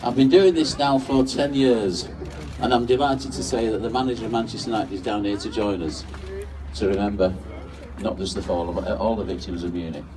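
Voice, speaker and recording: A man's voice: lowish voice, steady tone, brisk, recorded from a distance, background noise. A middle-aged man hosting and leading an informal tribute at a public memorial gathering, in speech and unaccompanied song. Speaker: event host and lead singer at a memorial.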